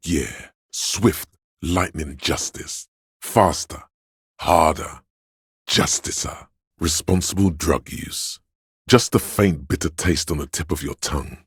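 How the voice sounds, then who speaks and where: A hedonistic voice of craving from a video game, extremely deep and smooth. He narrates and coaxes straight at the listener, sly and seductive, lingering on words. The sound is close and dry. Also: no echo, exaggerated voice. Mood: sly, savoring. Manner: smooth, insinuating, savoring words. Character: seductive, hedonistic male inner voice of addiction and craving. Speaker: video game character voice actor. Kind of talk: dramatic game narration, second-person coaxing.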